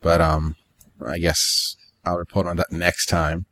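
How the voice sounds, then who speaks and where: A man's voice: low-pitched, completely flat delivery, a little slow, fairly bright tone. A man chatting casually as a podcast co-host, offhand in manner and slipping into acting out quoted remarks. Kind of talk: casual chat about games and manga.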